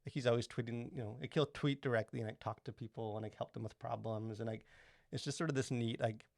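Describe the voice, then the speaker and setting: Casual male podcaster, rapid and rambling, close dry sound. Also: medium pitch, fairly even intonation, creaky, a little mellow, dry recording. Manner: rambling, halting, filler-heavy explanations. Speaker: podcast co-host.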